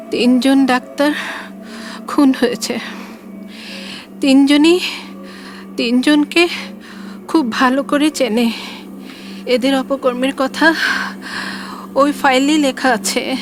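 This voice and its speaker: A woman playing a weak, frightened hospital patient in an audio drama, speaking haltingly, word by word. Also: sits high, slight background noise. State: weakened, labored.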